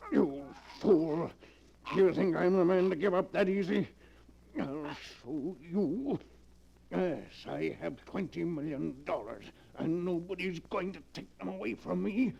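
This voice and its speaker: Ruthless middle-aged tycoon, imperious 1940s radio melodrama, dark-toned, muffled vintage recording. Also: male voice, sits high, measured pace, gravelly, slightly rough, heavily acted. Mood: agitated, defiant. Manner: forceful, imperious, theatrical. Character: ruthless, domineering middle-aged millionaire businessman turned killer.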